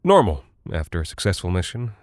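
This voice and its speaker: Casting: nervous cargo pilot husband, lowish voice, halting rambling monologue, tightly dry recording. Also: male voice, sing-song, a little mellow, no room sound. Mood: uneasy, reassuring himself. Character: anxious husband, cargo pilot recording a message for his wife. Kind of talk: audio drama monologue.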